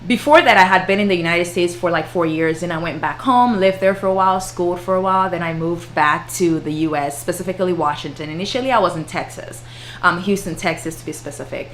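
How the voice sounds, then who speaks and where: A woman's voice: lowish voice, fast, a little hiss or hum. A woman, chatting casually with viewers on a livestream and laughing often. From Ghana. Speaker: flight attendant and YouTuber.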